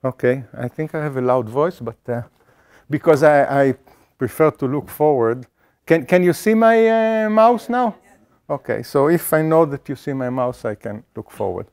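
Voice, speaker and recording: Halting man lecturing with many fillers. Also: a little high, lively intonation, darkish tone, clear, resonant tone. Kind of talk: academic lecture on statistics. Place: lecture auditorium.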